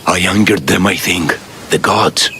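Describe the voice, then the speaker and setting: A man's voice: low voice, measured pace, background noise. An actor playing an anxious, superstitious poor Greek man in a video game, speaking in a plaintive, fretful way. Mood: troubled, pleading. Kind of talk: video game cutscene acting.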